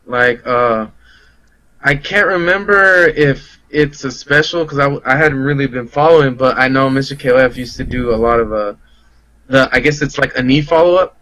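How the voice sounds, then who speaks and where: A man's voice: mid-range voice, moderate pace, pushed and bright, no room sound, poor audio. A man talking casually and loosely about fighting games, in a slangy, rambling way. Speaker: guest player on a fighting game talk show. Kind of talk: casual game discussion.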